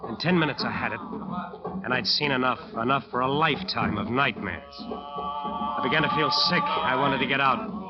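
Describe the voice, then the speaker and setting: Actor as intense radio narrator, dull old recording. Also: male voice, medium pitch, moderate pace, over loud music, close to an everyday voice. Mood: horrified fascination. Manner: dramatic, intense storytelling. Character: driven, ambitious male jazz musician recounting his nightmare. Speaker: narrator and lead character. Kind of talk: 1940s-50s radio drama narration.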